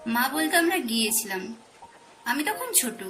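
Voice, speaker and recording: A woman's voice: sits high, very animated, moderate pace, bright, forceful tone, heavily acted. A woman playing a young, playful and affectionate Bengali wife in a romantic audio story, with teasing tenderness. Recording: music under the voice. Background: background music.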